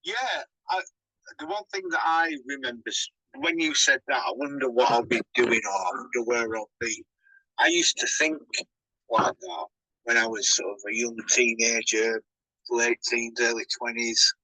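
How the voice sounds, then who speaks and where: A man calling in to a radio show, speaking in a reflective, halting way with many restarts. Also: medium pitch, steady tone, sharp tone, dry recording, low-quality recording. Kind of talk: casual chat.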